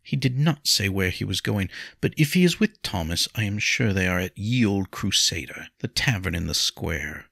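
Casting: bitter, scornful peasant wife read by a male narrator, mousy but defiant, lively pitch swings, storybook drama. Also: moderate pace, creaky, few pauses, no room sound, clean studio sound, heavily acted. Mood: subdued. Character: short chubby bitter serf woman, scornful and defiant.

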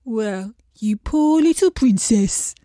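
A woman's voice: fairly high pitch, expressive, slow pace, warm tone, no echo, studio quality. A woman voicing a glum, plain male pond fish in a storybook reading, speaking in a mournful, heavy way. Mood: gloomy.

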